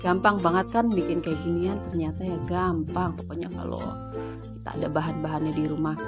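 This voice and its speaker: A woman, a cooking channel host in a home kitchen, talking through a recipe in playful joking banter, her voice a bit hoarse from a sore throat. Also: fairly low pitch, steady tone, brisk, over loud music. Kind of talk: casual cooking tutorial chat.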